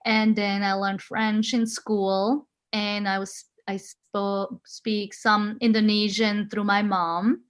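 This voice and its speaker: A woman's voice: medium pitch, flat delivery, takes it slowly, few pauses, clean tone. Woman in her forties, laughing often. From Switzerland. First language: Swiss German and German. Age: 40s. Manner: friendly, laughs often, searches for words. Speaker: guest. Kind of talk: casual interview.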